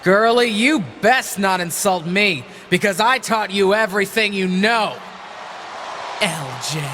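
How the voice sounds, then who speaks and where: A woman's voice: mid-range voice, a little slow, fairly bright tone, slight background noise, close to an everyday voice. A woman playing a bitter, scheming older wrestling legend in a video game, taunting and condescending like a promo. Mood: scornful, wounded pride. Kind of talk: dramatic wrestling promo acting.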